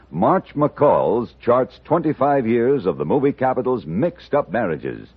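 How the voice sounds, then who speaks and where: A man announcing a scripted 1950s radio advertisement with an emphatic sales delivery and a husky voice. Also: mid-range voice. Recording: dull old radio recording.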